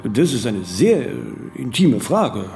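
A man's voice: medium pitch, very animated, measured pace, darkish tone, soft background music. A man playing a vain, disciplined, eccentric celebrity in a radio play, talking in flowing, emphatic, self-correcting monologues peppered with little tag questions. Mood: evasive, hesitant. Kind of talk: radio play dialogue, conversational interview answers.

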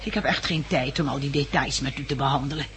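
A woman's voice: extremely low pitch, fairly even intonation, quick pace, a bit bright. Stern female leader: curt, authoritative, principled, vintage radio play with light background noise. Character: stern, principled woman leading a survivors' community. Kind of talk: 1960s radio drama acting. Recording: noisy old 1960s radio recording.

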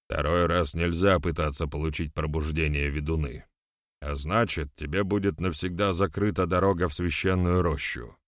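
A man's voice: sits low, steady tone, moderate pace, no echo, studio quality, natural voice. A man voicing an earnest, conciliatory clan elder in an audiobook, with an admonishing, urgent manner. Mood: cautioning.

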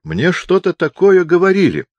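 A man's voice: sits high, big pitch swings, normal speed, dry recording, lo-fi sound, pitched above the performer's own voice. A man voicing a kindly, oblivious old chaplain who is hard of hearing and bellows cheerfully in an audiobook. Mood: rambling, amiable. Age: older. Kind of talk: audiobook character voice.

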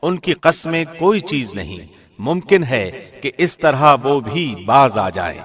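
A man reading a scripture translation in a formal, measured, solemn way, phrasing clearly like a professional radio presenter. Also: mid-range voice, sing-song, a bit bright.

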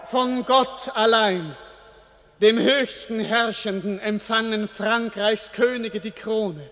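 A man's voice: high-pitched, slow pace, fairly bright tone. An actor playing a king in a classic radio drama, proclaiming solemnly to his people. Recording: long reverb, as in a cathedral.

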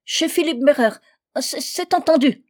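Woman acting a desperate, high-pitched stammering drunkard. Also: expressive, moderate pace, darkish tone. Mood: eager, desperate. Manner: stammering, breathless pleading. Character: drunkard stuck down a well, desperate, whining, pleading.

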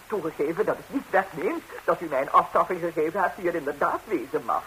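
An actress playing a chatty, middle-aged gossiping wife with theatrical exclamations in a 1960s radio comedy. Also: female voice, fairly low pitch, animated, quick pace, fairly bright tone, poor audio. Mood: shocked, indignant. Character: gossiping Madrilenian wife, flustered, indignant, melodramatic. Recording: hissy old 1960s radio recording.

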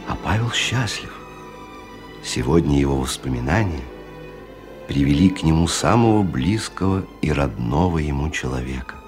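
An actor playing the compassionate storyteller of a 1970s radio play, narrating a village tale in a musing way. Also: male voice, rumbling low, animated, normal speed. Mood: musing, sympathetic. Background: music.

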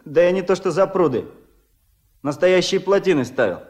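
An actor playing a proud, blunt itinerant builder in a radio play, speaking colloquially and with self-assurance. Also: male voice, high-pitched, animated, normal speed, a little mellow, a little hiss or hum. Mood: confident, blunt. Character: proud, stubborn, plain-spoken itinerant dam builder, working man. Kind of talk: Soviet radio play acting.

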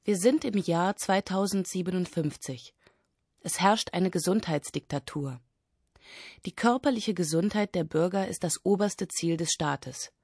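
A woman's voice: lowish voice, a little slow, a little mellow, husky, no echo, clean studio sound. A woman doing a scripted literary reading for radio. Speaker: reader of excerpts and summaries.

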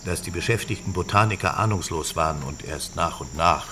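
Actor as grave, low-voiced padre. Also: male voice, a little fast, close to an everyday voice. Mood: guarded, grave. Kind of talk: radio drama acting, measured storytelling. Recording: clear insect-filled ambient noise behind voice.

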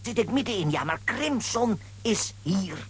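An actor in a children's radio play voicing a boastful, excitable grown comic hero who stammers and exclaims bombastically. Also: medium pitch, measured pace, slightly rough. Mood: urgent, hushed.

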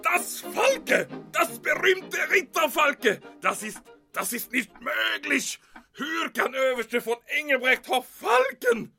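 Theatrical major, very high voice, swooping intonation, middle-aged, melodramatic, audio drama. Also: male voice, very animated, vocal fry, sharp tone, soft background music, exaggerated voice. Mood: panicked, shrill. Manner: melodramatic, sprinkles in German exclamations. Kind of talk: audio drama monologue acting.

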